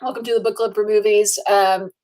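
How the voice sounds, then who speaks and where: A woman's voice: medium pitch, level tone, fairly quick, a little mellow, slight background noise. A woman hosting a casual movie podcast, chatty and a little tired, with frequent filler words and laughs. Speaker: podcast host. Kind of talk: casual chat.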